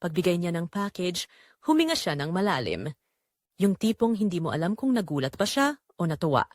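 A woman narrating a scripted first-person story in a confessional, dramatic way with deliberate phrasing. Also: on the low side, big pitch swings, a little mellow, no room sound, clean studio sound.

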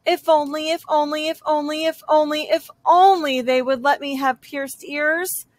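A woman reading a children's picture book aloud as a young girl's story, with dramatic, drawn-out emphasis. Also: high voice, steady tone, no room sound.

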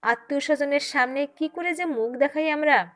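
A woman's voice: high-pitched, moderate pace, fairly bright tone. A woman voicing a sneering, complaining older mother-in-law in an audio story. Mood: scornful.

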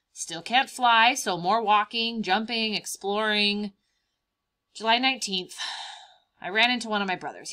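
Woman acting out a young praying mantis, picture-book journal, cheerful, boastful, bright, swooping pitch, drawn-out emphasis. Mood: boastful, playful. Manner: expressive, emphatic, drawn-out words. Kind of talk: children's picture-book read-aloud.

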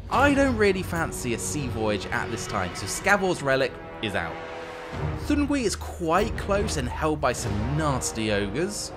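A man giving scripted, tongue-in-cheek strategy game narration in a wry, theatrical, playfully villainous manner. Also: on the high side.

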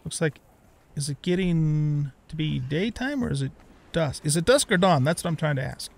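A man's voice: a little high, expressive, normal speed, vocal fry. A middle-aged man giving casual let's play commentary, thinking aloud as he plays. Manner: talks to himself, thinking aloud.

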